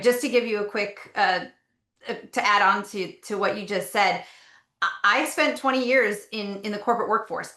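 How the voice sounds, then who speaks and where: A woman's voice: mid-range voice, pushed and bright, echoey room. A woman in her forties, a doctoral student on a panel, speaking in an upbeat, enthusiastic way over a video call. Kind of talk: casual panel chat.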